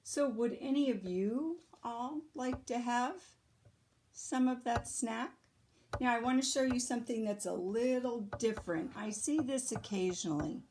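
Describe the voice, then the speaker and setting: A woman reading a picture book aloud to children like a teacher, deliberate and expressive, pausing to explain. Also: fairly high pitch, a little hiss or hum.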